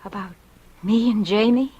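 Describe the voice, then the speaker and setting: A woman's voice: medium pitch, normal speed, warm tone, slightly rough, dull audio, lightly acted. An actress playing an anxious, suspicious, protective mother in 1940s radio suspense, speaking with dramatic, confiding intensity. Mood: alarmed. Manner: dramatic, intense first-person confiding delivery. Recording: old-time radio recording with music behind.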